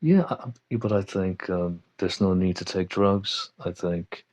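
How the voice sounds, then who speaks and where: Halting deep-voiced man from Manchester. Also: darkish tone, dry recording. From Manchester, England. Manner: halting, full of "um" pauses and restarts. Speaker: podcast panel guest. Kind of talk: casual chat.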